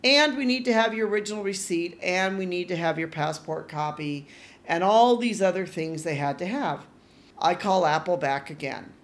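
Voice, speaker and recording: A middle-aged woman hosting her own podcast, telling a frustrating customer-service story in a lively, exasperated way and acting out the dialogue. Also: lowish voice, moderate pace. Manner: animated, exasperated retelling with quoted dialogue. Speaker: podcast host. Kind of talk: casual solo podcast storytelling.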